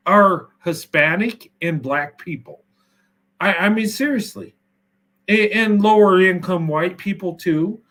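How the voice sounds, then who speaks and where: A man's voice: high voice, fairly bright tone. A man talking politics in a casual discussion, emphatic and full of stutters and restarts. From United States.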